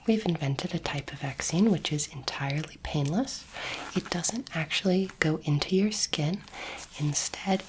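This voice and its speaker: A woman whispering gently and reassuringly in an ASMR roleplay, acting as a calm, kindly alien doctor, close to the microphone. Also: low-pitched, slight background noise, rough recording. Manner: soothing, gentle, reassuring, unhurried. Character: kindly, calm female alien space doctor examining a human. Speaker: ASMR performer playing an alien space doctor.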